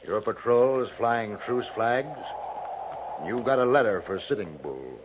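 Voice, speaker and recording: Frontier Jesuit played by a male actor: American, gentle, steadfast, lively inflection, hissy old radio sound. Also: medium pitch, a little slow, lightly acted. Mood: calm, assured. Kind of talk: 1950s radio drama acting. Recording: tinny, noisy 1950s radio recording.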